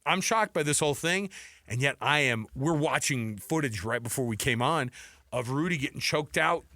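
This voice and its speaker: An American man co-hosting an unscripted sports talk show, opinionated and animated, often restarting mid-thought and throwing out rhetorical questions. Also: medium pitch, normal speed, no echo. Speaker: sports radio co-host.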